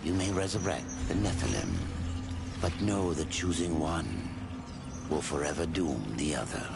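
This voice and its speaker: Slow, creaky, cryptic old sage. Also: male voice, a bass voice, expressive, heavy background noise, exaggerated voice. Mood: cryptic, grave. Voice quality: creaky, gravelly. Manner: slow, portentous, dramatic pauses. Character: cryptic old male sage and solemn storyteller of legend. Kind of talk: dramatic video game narration and dialogue. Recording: loud music behind.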